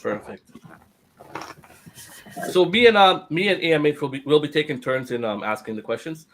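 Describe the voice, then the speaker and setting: A man's voice: fairly high pitch, animated, normal speed, fairly bright tone, slight background noise, low-quality recording. A man from Guyana hosting a podcast over a video call, upbeat and chuckling between turns. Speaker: podcast host. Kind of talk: casual group chat.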